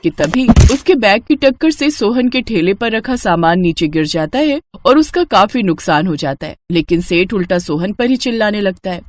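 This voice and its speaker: A woman's voice: mid-range voice, lively intonation, moderate pace, few pauses, dry recording, clean studio sound. A woman narrating a scripted moral story as a storyteller.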